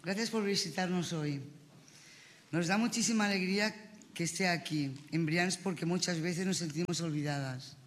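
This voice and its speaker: A woman's voice: on the low side, normal speed. Female, middle-aged, Spain accent, inmate delivering a prepared testimony; long hall reverb. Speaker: prison inmate giving testimony. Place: speaking to an assembly in a prison. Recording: reverberant large hall, public address.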